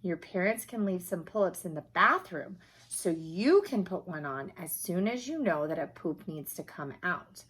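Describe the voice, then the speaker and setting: A woman's voice: lowish voice, animated, moderate pace, few pauses, a bit bright, breathy edge. A woman voicing a kindly children's doctor in a picture book, explaining things patiently and encouragingly. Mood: reassuring.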